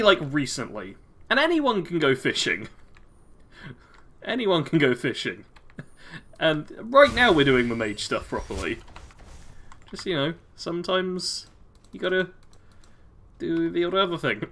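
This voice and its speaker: A chatty British man with a bright, forward voice, talking through a game stream. He keeps up a loose, friendly chatter as he answers viewers, chuckling often between comments. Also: a little high, very animated, moderate pace, pauses often. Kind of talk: casual live-stream commentary, reading chat aloud.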